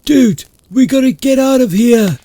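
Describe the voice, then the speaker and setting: A man voicing a panicked young male friend who talks loudly and urgently. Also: high-pitched, expressive, measured pace, voice pushed up. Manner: frantic, loud, urgent.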